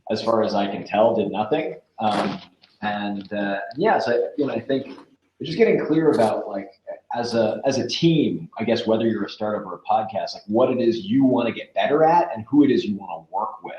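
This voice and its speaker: A man chatting casually as an interview guest, thinking aloud in a halting, informal way. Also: lowish voice, lively intonation, mellow, muffled recording, slight background noise. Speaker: podcast guest, actor and producer. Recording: roomy, echoing sound.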